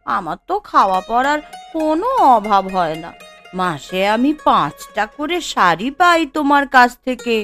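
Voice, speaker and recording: A woman's voice: high voice, a bit bright, exaggerated voice. A woman voicing a well-off, demanding, haughty wife in a cartoon, with a scolding, boastful way of talking. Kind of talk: Bengali cartoon dialogue.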